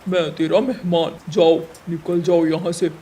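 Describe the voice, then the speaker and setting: Woman putting on a sneering, lowered-voice male crow. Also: little pitch movement, moderate pace, dark tone, slight background noise, close to an everyday voice. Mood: mocking scorn. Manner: sneering, brusque. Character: male crow, rude, mocking, heartless.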